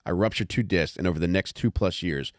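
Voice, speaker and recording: A man narrating a comic memoir audiobook in a wry, measured, profane way. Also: sits low, dry recording, studio quality.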